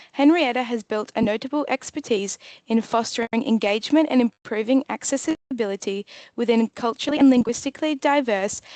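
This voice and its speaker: A woman hosting a webinar who reads a prepared introduction in a measured way. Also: fairly high pitch, a little mellow, no room sound. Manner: measured, reading a prepared text. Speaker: webinar host. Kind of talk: scripted introduction.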